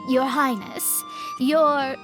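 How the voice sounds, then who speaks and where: A woman's voice: sits high, fairly even intonation, drawn-out words, over music. A woman playing an exasperated young woman in a video game, humouring others with mock-grand phrasing and awkward hesitations.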